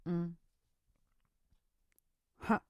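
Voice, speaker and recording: A woman's voice: extremely slow, no room sound, clean studio sound. A woman acting out short, wordless vocal reactions.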